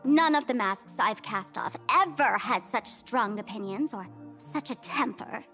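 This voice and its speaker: A woman's voice: fairly high pitch, animated, a little slow, a bit bright, faint music behind. A woman voicing a mischievous, flippant young trickster performer in a video game, breezy and mocking. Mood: cool scorn.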